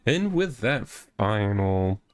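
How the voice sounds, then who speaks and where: A man's voice: fairly low pitch, big pitch swings, slow. A man playing through a visual novel as host, commenting and reading the narration aloud. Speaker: let's play host. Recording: music behind the voice.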